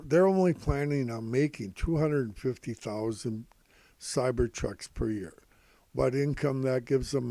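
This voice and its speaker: An older man giving informal, rambling financial commentary in a halting, repetitive, folksy way, calling himself Grandpa. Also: mid-range voice, creaky, dark tone, no room sound. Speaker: host of stock prediction videos.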